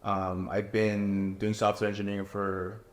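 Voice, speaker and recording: Low-voiced young man, candid and casual. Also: measured pace, reverberant. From Korea. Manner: candid, relaxed, many fillers like "you know" and "like". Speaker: reality show contestant. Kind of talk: casual interview answers.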